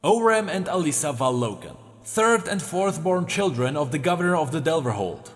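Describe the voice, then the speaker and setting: A man voicing a cunning one-armed old general with a cultured, measured manner, over faint music. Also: on the high side, very animated, big-room sound. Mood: silky menace.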